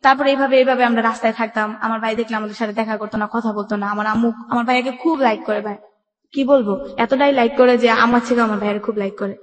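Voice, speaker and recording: A woman's voice: a little high, fairly even intonation, fast talker. A young woman with a colloquial Bangladeshi accent telling a personal story as a radio guest, in run-on everyday speech, recorded with an echoey reverb. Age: young adult. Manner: colloquial, run-on recounting with quoted dialogue. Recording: echoey room with long reverb.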